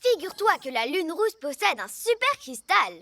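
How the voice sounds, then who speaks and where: Cartoon villainess, young, scheming and boastful. Very high, swooping delivery over music. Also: female voice, very animated, moderate pace, pushed and bright, no room sound, exaggerated voice. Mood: gloating, boastful. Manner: theatrical, gloating. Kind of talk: French animated cartoon dub. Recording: music behind the voice.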